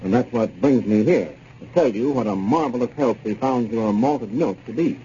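A man's voice: medium pitch, normal speed, dark tone. An American man delivering a scripted 1930s radio testimonial. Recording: muffled, hissy 1930s radio recording.

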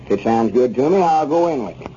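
A folksy rural Southern storekeeper, acted by a man for 1930s radio comedy, with a relaxed, mellow voice. He is gullible and pleased with himself, rambling through homespun sayings. The recording is dull, with faint noise. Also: medium pitch, fast, natural voice. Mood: self-assured. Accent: rural Southern American. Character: folksy, gullible, self-important country storekeeper.

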